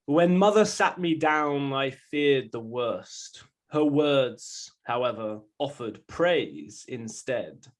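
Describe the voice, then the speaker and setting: A man, a guest reader, giving a measured recitation at a poetry reading. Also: mid-range voice, expressive.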